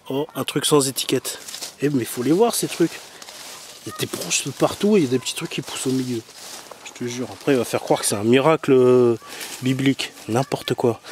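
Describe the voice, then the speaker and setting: A man's voice: mid-range voice, a little mellow, a little hiss or hum. A man chatting casually and slangily in a teasing, mock-complaining way as he films outdoors on a handheld camera. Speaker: vlogger filming a garden. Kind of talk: casual rambling video commentary. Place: outdoors in an overgrown garden. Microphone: handheld camera mic.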